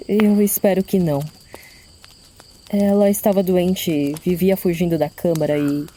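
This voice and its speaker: Soft, mellow young tiefling woman, acted by a woman. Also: mid-range voice, a little hiss or hum, close to an everyday voice. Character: gentle young female tiefling harpist missing her mother. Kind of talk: audio drama acting.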